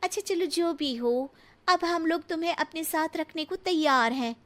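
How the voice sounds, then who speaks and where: A woman's voice: very high voice, moderate pace, a bit bright, pitched above the performer's own voice. A woman voicing a showy, snobbish modern city socialite in a cartoon moral story, with an affected, gushing manner. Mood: condescending. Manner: affected, gushing, mixes in English.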